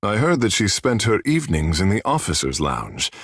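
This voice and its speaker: Low, gravelly, vain fish-man demigod. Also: huge pitch swings, normal speed, a bit bright, no echo, studio quality, exaggerated voice. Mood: flirtatious, boastful. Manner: theatrical, boastful, languid storyteller. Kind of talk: fantasy video game character dialogue.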